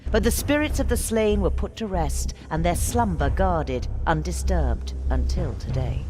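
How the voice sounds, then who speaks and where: A woman's voice: lowish voice, animated, unhurried, background noise. An actress playing a solemn, dramatic female leader and mother in a fantasy video game.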